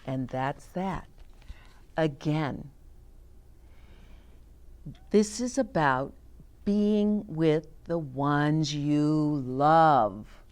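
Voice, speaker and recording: An older woman reading aloud to children and adding chatty asides, gently explaining things to her young listeners. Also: deep voice, very slow, long pauses.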